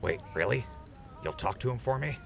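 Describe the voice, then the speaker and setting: A man's voice: medium pitch, animated, normal speed, vocal fry, over a noisy crowd. An actor playing an earnest, apologetic male radio DJ in a post-apocalyptic audio drama. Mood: hesitant.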